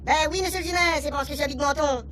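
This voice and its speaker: A woman's voice: high-pitched, fast, a little hiss or hum. A woman playing a chattering alien official. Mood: excited. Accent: Réunionese Creole. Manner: rambling, excited, Creole-tinged French. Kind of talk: radio comedy serial acting.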